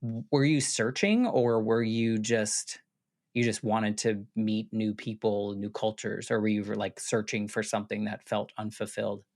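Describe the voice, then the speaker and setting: Curious American podcast host, lowish voice. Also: male voice, fairly even intonation, unhurried. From United States. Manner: warm, curious questioning with fillers. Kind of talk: casual interview conversation.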